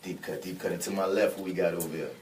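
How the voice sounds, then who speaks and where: A laid-back man hosts a radio show in loose, slangy banter. His voice sits fairly low and sounds a little distant from the mic. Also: little pitch movement, very fast, a little mellow, slight background noise. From New Orleans.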